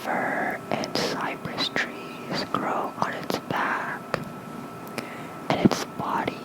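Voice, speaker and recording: ASMR bedtime narrator: pure soft whisper, slow gentle pacing, breathy, close-miked. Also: low-quality recording. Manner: gentle, unhurried, murmuring small asides. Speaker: host of an ASMR channel. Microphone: close mic with fluffy cover.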